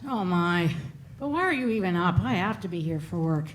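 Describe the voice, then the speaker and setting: A woman's voice: fairly low pitch, animated, a little fast, a little hiss or hum, natural voice. A woman acting a sardonic, bossy cartoon gas station worker in a live script read. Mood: withering. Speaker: actor at a table read. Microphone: stage microphone on stand.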